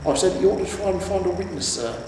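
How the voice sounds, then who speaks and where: A man voicing an earnest, plodding night-watch sergeant in a storybook, speaking deliberately and emphatically. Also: sits high, expressive, darkish tone, pitched above the performer's own voice. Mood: earnest reporting. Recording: roomy, echoing, distant mic with noise.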